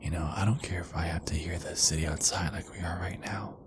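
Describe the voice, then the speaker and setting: A man playing an ardent, nervous werewolf boyfriend in an ASMR roleplay, halting and repeating himself as he pleads. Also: deep voice, steady tone, background noise. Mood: tender, earnest.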